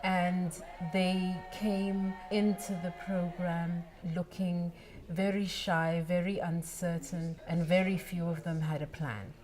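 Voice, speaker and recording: A woman speaking in a documentary interview about a youth jobs program, measured and earnest. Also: lowish voice, little pitch movement, darkish tone, soft background music. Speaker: foundation representative.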